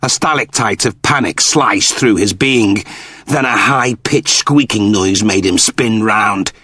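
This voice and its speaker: A man's voice: on the low side, a little slow, bright, forceful tone, slightly rough, no room sound, clean studio sound. A man narrating a story as a scripted, dramatic audiobook reading.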